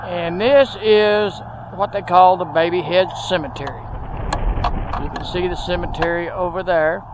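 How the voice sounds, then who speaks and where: A man's voice: high-pitched, steady tone, moderate pace. A middle-aged man with a Texan accent gives a folksy, easygoing walking tour outdoors, filming with a handheld tripod camera. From Texas. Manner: folksy, easygoing, with frequent fillers. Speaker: video host. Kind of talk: casual walking tour narration. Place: outdoors at a rural roadside cemetery, windy. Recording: outdoor wind and road traffic noise.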